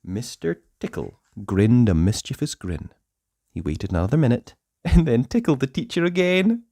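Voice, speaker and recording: A man reads a children's story with a hugely varied, swooping delivery at a middling pitch. He is playful, leaning on words and pausing for drama, and the recording is close and dry. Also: big pitch swings, mellow, no echo. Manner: playful, dramatic pauses and emphasis. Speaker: storybook narrator. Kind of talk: animated children's read-aloud narration.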